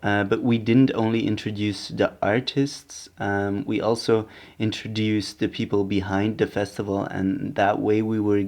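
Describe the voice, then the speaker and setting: A man's voice: on the low side, flat delivery, measured pace, gravelly, darkish tone, no echo. A young adult man giving prepared but hesitant interview answers as a podcast maker.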